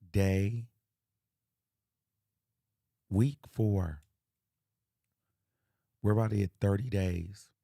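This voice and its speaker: A man hosting a livestream with a hoarse, worn-out voice that is nearly gone. He speaks slowly in a low, dark tone with a gravelly edge. The recording is dry and close. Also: no echo. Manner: soft, subdued, talking through a lost voice. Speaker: life coach hosting a livestream. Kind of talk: casual livestream talk.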